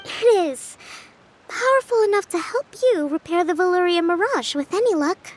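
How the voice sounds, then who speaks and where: A woman playing a gentle, wise young priestess and strategist in a video game, earnest, articulate and reassuring. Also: very high-pitched, animated, normal speed, a little hiss or hum. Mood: calm, encouraging. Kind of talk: scripted video game voice acting.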